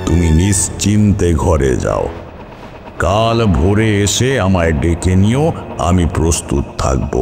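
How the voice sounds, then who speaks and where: An actor playing a solemn older male tantric priest in a horror cartoon, speaking formal, measured counsel. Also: low voice, sing-song, full character voice. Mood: calm reassurance. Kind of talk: dramatic Bengali horror cartoon voice acting. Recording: long reverb, music behind. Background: music.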